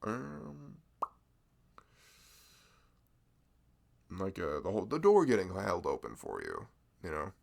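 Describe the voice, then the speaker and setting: A man's voice: huge pitch swings, normal speed, darkish tone, full character voice. A man playing a sweet, bashful young male florist in a chatty, hesitant ASMR roleplay, with a velvety voice. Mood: musing. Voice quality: velvety, low, creaky. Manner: chatty, hesitant, rambling, self-deprecating. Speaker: ASMR voice performer. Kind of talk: ASMR roleplay, improvised casual chat.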